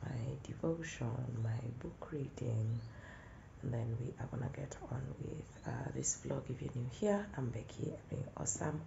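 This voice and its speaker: Woman, congested cold voice, very low pitch, raspy fry, soft half-whispered delivery. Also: normal speed, reverberant, highs cut off, background noise. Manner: soft-spoken, drifting into whispers. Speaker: vlogger. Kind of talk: casual morning vlog talk. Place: outdoors in the morning with birdsong.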